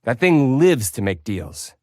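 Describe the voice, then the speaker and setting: A man's voice: mid-range voice, very animated, a little slow, darkish tone, dry recording, clean studio sound. A man playing a glib, wry warding expert. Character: wry male warding specialist. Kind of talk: fan voice drama.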